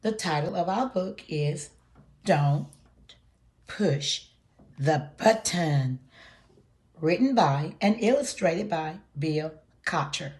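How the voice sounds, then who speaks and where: A woman hosting a picture-book read-aloud for preschoolers, explaining things simply and deliberately to young children. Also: deep voice, expressive, darkish tone.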